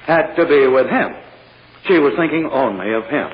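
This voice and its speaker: A man acting a prosecuting attorney in an old radio drama, questioning in a careful, halting, insistent way. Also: mid-range voice, expressive, close to an everyday voice. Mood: pointed, deliberate. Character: prosecuting attorney, probing, deliberate male courtroom lawyer. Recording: muffled, noisy old radio recording.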